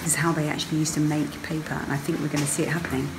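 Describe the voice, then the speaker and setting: A woman's voice: deep voice, flat delivery, rapid-fire, heavy background noise. A woman casually narrating her family's sailing trip as a travel vlog host, speaking outdoors on a sailboat.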